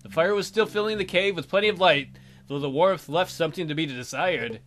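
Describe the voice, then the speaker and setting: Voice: mid-range voice, a little fast, a bit bright, soft background music. A game player reading a story aloud as narrator and breaking off for wry, teasing commentary. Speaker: let's play host.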